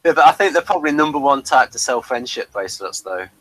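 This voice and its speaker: A man's voice: mid-range voice, lively intonation, fast talker, a bit bright, no room sound, rough recording. A man co-hosting a casual podcast chat about a TV episode, now and then reading out lines from a page. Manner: chatty, reads out bits from a page. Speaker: podcast co-host.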